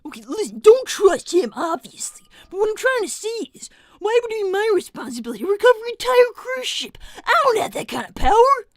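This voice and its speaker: A woman's voice: very high voice, very animated, brisk, few pauses, no echo, clean studio sound, exaggerated voice. A woman voicing an exasperated young fox inventor in a casual, argumentative improvised livestream roleplay. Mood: defensive, exasperated.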